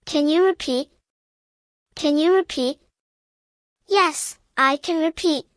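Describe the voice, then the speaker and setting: A woman with a distinctly high voice reads a beginner language drill. She speaks slowly and carefully in short, evenly measured phrases, repeating each one. The sound is dry, with no room echo. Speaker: English teacher narrator.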